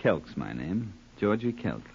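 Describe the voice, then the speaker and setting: Gravelly, deep, cultured American hitman. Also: sing-song, darkish tone, exaggerated voice. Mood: calm, politely menacing. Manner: unhurried, musing, dryly polite. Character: cool, cultured hired killer who loves classical music. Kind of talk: 1940s-50s radio crime drama acting. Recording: dull old-time radio recording.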